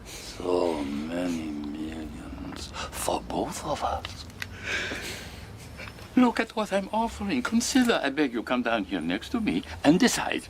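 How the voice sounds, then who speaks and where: An actor playing an older fugitive war criminal, courtly, greedy and pleading in a halting radio drama performance. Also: male voice, fairly low pitch, wildly swooping pitch, exaggerated voice. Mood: greedy, imploring. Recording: noisy radio drama recording.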